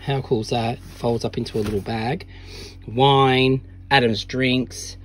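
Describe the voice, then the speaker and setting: A man's voice: medium pitch, fairly even intonation, unhurried, a little hiss or hum. A man in his thirties with an Australian accent, chatting casually as he films himself in a camper van with a phone held at arm's length. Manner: chatty, upbeat, with soft asides to his dog. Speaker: vlogger. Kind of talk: casual vlog narration. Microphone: handheld phone at arm's length.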